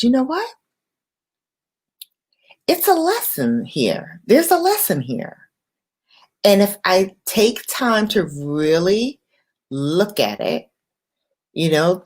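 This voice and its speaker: Slow, reflective woman livestreamer. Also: deep voice. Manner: unhurried, reflective, pausing between short phrases. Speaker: livestream host. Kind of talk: impromptu late-night livestream chat, reflective rambling.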